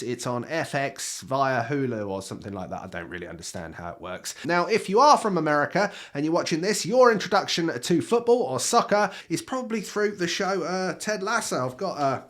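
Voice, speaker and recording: A British man with a fairly high voice, a little croaky from a summer cold. He talks straight to the viewer in a relaxed, chatty review style, like a video host sharing his opinions. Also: brisk, few pauses. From Britain. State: slightly hoarse from a cold. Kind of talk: casual spoken review.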